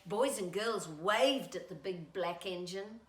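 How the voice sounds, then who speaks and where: A woman hosting storytime, speaking in an animated, singsong way to young children as she reads a picture book. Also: mid-range voice, takes it slowly, reverberant. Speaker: storytime host and children's author.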